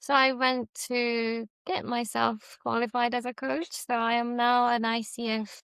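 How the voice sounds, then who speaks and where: A woman's voice: a little high, flat delivery, measured pace, gravelly, a bit bright, smooth, no room sound, studio quality. A woman from Japan who speaks English as a second language, a leadership coach chatting casually and laughing easily. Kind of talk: casual conversation.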